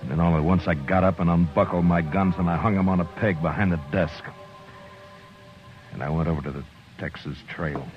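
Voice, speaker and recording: A man playing a grim middle-aged frontier marshal with a very deep voice. He speaks in a low, measured, restrained way. The sound is a dull old radio broadcast with faint music underneath. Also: close to an everyday voice. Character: stern, quietly grim male frontier marshal. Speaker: marshal and narrator. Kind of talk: 1950s radio drama acting with narration.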